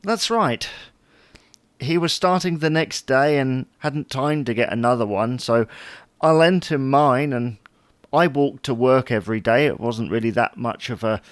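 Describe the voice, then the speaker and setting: A man's voice: mid-range voice, a little slow, no room sound, lightly acted. A man playing a chatty, fussy, wryly puzzled husband in an audio sitcom. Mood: reminiscing. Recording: noticeable background noise.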